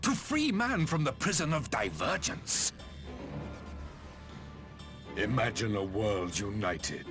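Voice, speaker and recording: An imperious, aging emperor delivers grand, cold proclamations in a game cutscene. His delivery is very slow and measured, full of zealous conviction. Dramatic music plays clearly behind him. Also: medium pitch. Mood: proud, fervent. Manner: grandiose, measured, archaic oratory.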